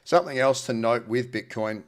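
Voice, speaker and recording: A man's voice: medium pitch, normal speed. Nasal man with a head cold. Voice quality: slightly nasal. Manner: informal, matey, colloquial. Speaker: host, crypto trading analyst. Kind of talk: casual market commentary to camera.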